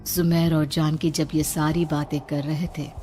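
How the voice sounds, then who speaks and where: A woman's voice: low voice, level tone, measured pace, warm tone. A woman storyteller reading a scripted horror tale in a dramatic narrating style. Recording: background music under narration.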